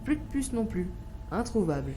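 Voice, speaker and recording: An actress playing a composed senior agency official in an audio drama, formal, measured and articulate. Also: female voice, medium pitch, sing-song, natural voice. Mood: composed, grave.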